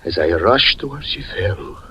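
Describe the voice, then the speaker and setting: Grief-stricken magician, 1940s radio drama, wildly swinging pitch, slow brooding delivery, bright tense tone. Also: male voice, sing-song, lo-fi sound, a little hiss or hum. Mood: hushed sorrow. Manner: slow, brooding, breaking into anguished cries. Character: grief-stricken male magician confessing a tragedy.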